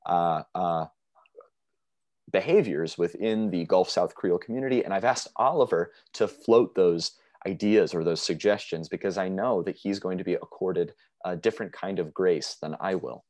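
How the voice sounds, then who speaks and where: A young man presenting a linguistics paper over a video call, speaking in a measured way with frequent fillers. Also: sits low, darkish tone, no room sound, studio quality. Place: small room indoors. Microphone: video call webcam mic.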